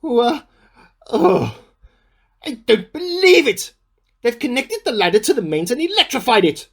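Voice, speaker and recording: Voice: mid-range voice, sing-song, unhurried, fairly bright tone, full character voice. An actor in a radio play playing a bemused amateur scientist who keeps a spoken diary, musing aloud in an earnest, slightly formal and self-questioning way. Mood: indignant, alarmed. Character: bemused amateur scientist keeping an audio diary, increasingly suspicious.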